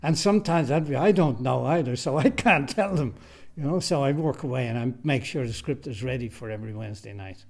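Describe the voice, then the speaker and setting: A man's voice: medium pitch, a little fast. An Irish man who writes and directs radio drama, answering interview questions casually and emphatically, often repeating his phrases, in a recording studio.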